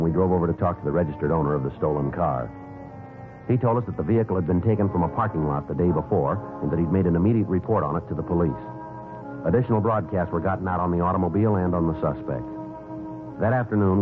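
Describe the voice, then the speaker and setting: A man with an American accent acting a terse, businesslike police detective in a 1950s radio drama, in clipped deadpan delivery. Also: low voice, close to an everyday voice. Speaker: lead actor and narrator of a police radio series. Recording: narrow-band 1950s radio recording with music.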